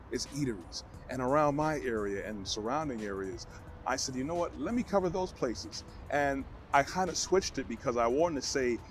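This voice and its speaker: A man from Brooklyn with a New York accent and a deep baritone voice, answering questions to camera in an earnest, motivational way. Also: slight background noise. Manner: earnest, motivational, measured phrasing. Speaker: vlogger.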